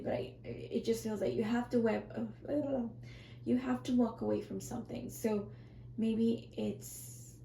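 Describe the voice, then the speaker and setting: A woman reads tarot cards in a loose, rambling way, her voice scratchy and full of gravel. Her tone is dark and mellow. The room sounds echoey, with a faint hiss behind her. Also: medium pitch. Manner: rambling, self-correcting, soft and reassuring. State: scratchy, strained voice, clearing her throat. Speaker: tarot reader. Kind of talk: casual pick-a-card tarot reading.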